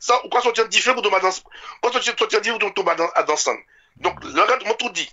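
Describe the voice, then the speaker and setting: Male radio talker, French Caribbean accent, quick and high-voiced, impassioned, repeats himself for emphasis. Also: animated, sharp tone, lo-fi sound. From French Antilles. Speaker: radio show speaker. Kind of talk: impassioned informal political discussion.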